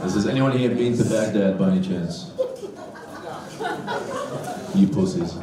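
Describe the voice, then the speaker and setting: A man's voice: deep voice, a little slow. Man doing blunt stand-up in a club. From Iraq. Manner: blunt, crude punchlines. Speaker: stand-up comedian. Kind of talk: stand-up comedy set. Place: comedy club stage. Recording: roomy club sound from a distance with loud crowd laughter.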